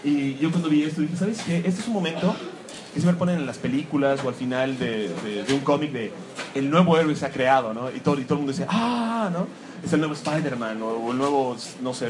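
A man's voice: on the high side, a little fast. A man giving a live, joking talk about comics to an audience in a room. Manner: joking, self-mocking, animated asides. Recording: clear background noise.

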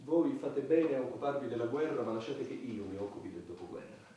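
An actor playing a wordy, self-important head of government in a satirical radio play, speechifying in a pompous, rhetorical way. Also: male voice, mid-range voice, expressive, fairly quick, mellow, lightly acted. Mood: pompous, lecturing. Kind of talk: satirical stage radio drama. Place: large echoey room, recorded from afar. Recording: distant, very echoey hall, faint noise.

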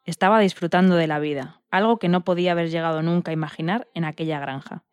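Female radio co-host, scripted storytelling, slightly low voice, very dry sound. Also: fairly even intonation, fast talker, clean tone, dry recording.

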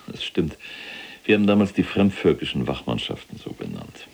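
An actor playing a proud, callous, imprisoned SS general recounting the war in a gravelly, husky, self-important military manner in a radio drama. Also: male voice, extremely low pitch, wildly swooping pitch, moderate pace, exaggerated voice. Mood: cold, boastful. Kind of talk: dramatized first-person war recollection.

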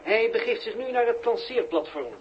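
A man's voice: extremely high pitch, expressive, moderate pace, muffled recording. An actor playing a male television reporter commentating formally on a rocket launch in an old Dutch-language radio drama. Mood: expectant. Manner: formal, explanatory announcing. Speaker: broadcast commentator. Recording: old radio recording, faint noise.

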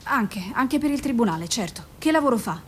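An actress playing a careful, patient doctor in a radio drama, measured and reassuring, sometimes hesitant and apologetic. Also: female voice, animated, natural voice. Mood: calm, reassuring. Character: careful, patient female doctor questioning a mother. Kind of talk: naturalistic radio drama acting. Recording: noisy recording with low rumble.

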